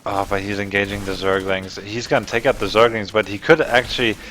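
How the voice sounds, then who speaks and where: A man with a lowish voice casts a live strategy game in a relaxed, chatty way. He fills his pauses with "uh" and "um" while he follows the action, and he sounds like he is speaking into a headset. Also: a little hiss or hum. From Germany. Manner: relaxed, conversational, thinks aloud with fillers. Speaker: esports caster.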